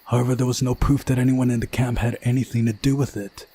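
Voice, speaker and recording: A man reading a visual novel aloud as a dramatic narrator, with soft guitar music behind him. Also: medium pitch, little pitch movement, quick pace, slightly rough. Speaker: game playthrough narrator.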